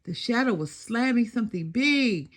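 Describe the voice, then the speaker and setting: A woman reading a children's story aloud in an animated, deliberate and expressive narration. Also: a little high.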